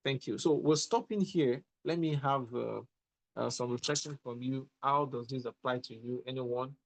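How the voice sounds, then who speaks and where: A man's voice: mid-range voice, moderate pace, creaky, rough recording. A man leading an online mentorship session, teaching in an emphatic, motivational way and often repeating his points. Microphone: online call audio.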